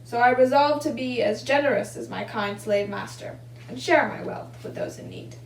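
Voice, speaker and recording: A woman's voice: a little high, moderate pace, gravelly, a little hiss or hum, poor audio. A young woman reading a children's story and giving simple craft instructions. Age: young adult. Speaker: volunteer storybook reader and craft demonstrator.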